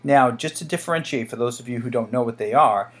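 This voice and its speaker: A man's voice: medium pitch, quick pace, fairly bright tone. A man hosting a casual talk for viewers, speaking as an enthusiastic fan who rambles from point to point. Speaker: host of a channel on radio dramas.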